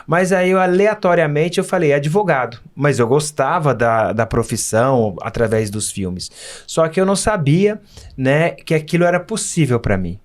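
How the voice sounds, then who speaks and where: A man's voice: on the high side, lively intonation, normal speed. Earnest Brazilian man telling his story. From Minas Gerais, Brazil. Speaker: podcast guest, lawyer. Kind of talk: casual interview storytelling.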